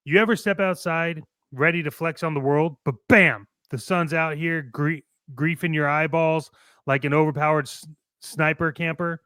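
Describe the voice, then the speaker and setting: A man's voice: fairly high pitch, steady tone, normal speed, a bit bright, dry recording. A man co-hosting a hobby talk show, moving between opinionated chat and brash, playful, slangy ad reads. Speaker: co-host of a toy-collecting show.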